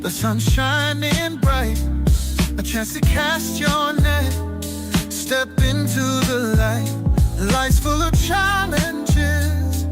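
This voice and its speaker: A woman singing a slow rhyming jingle that opens a talk show. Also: high-pitched. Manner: slow rhyming song over music. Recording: jingle over loud music.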